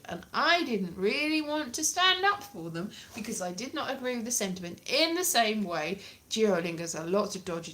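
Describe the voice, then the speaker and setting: A woman, perhaps in her late thirties, chatting casually to camera in an animated, rambling, dryly jokey way. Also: medium pitch. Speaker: vlogger, language learner. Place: small plain room indoors.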